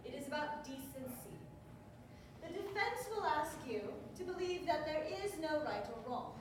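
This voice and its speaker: A woman playing a sharp-witted, stern prosecutor giving a formal, oratorical courtroom speech on stage. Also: high-pitched, animated, moderate pace. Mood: stern, earnest. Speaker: stage actor. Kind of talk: theatrical courtroom opening statement. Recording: very echoey hall, distant mic, noisy.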